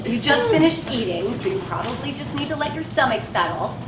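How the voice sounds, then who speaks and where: An actress playing a patient, kindly van driver in a stage play, coaxing and reassuring. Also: female voice, high voice, very animated, fairly quick. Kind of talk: live stage play acting. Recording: distant echoey stage, audience noise.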